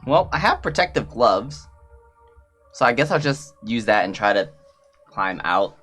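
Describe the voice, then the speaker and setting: A woman playing a tabletop game, thinking aloud in a slow, hesitant way. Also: a bass voice, very animated, fairly bright tone, faint music behind. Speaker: player. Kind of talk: casual tabletop play.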